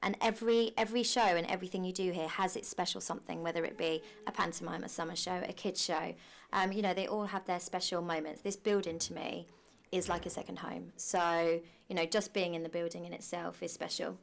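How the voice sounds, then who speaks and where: Young woman, low creaky voice. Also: steady tone, fairly quick. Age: 20s. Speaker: pantomime cast member interviewed. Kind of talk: casual documentary interview. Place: small backstage costume room, indoors.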